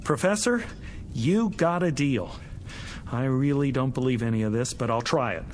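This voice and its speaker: An actor playing a lovesick, desperate, impetuous suitor, eager and effusive, in a vintage television drama. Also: male voice, medium pitch, expressive, moderate pace, a little mellow, slight background noise, lightly acted. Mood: excited.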